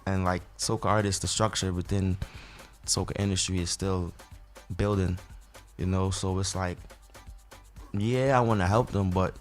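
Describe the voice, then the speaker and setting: A man's voice: deep voice, steady tone, moderate pace, pauses often, faint music behind. A man from Barbados with an accent that slips between Bajan and American, answering interview questions in a laid-back, rambling way full of fillers. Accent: Barbadian mixed with American. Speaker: soca artist.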